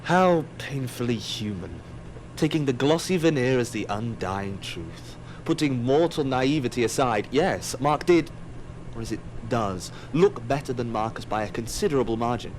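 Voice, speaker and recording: A man's voice: medium pitch, expressive, normal speed, a little mellow, a little hiss or hum, natural voice, rough recording. A man in an audio drama playing an aloof, wry, digressive otherworldly narrator who muses on mortals. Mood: detached, condescending. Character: aloof, musing otherworldly narrator observing mortals, easily distracted.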